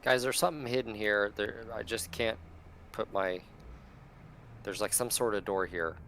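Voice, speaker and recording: A man's voice: on the low side, normal speed, fairly bright tone, a little hiss or hum. A man playing a tabletop game, casually calling out what his character does.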